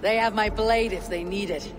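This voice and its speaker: Man acting a high-pitched steadfast Viking warrior. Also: fairly even intonation, moderate pace, noisy background, natural voice. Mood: resolute. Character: young adult male Viking warrior, steadfast, loyal, wry. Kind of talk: video game cutscene acting.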